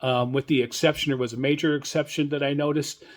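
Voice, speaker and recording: An older man hosting a hobbyist podcast, giving informal solo news commentary in a hesitant, halting, rambling way. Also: on the high side, flat delivery. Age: older, 60s or above. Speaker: radio hobbyist podcast host.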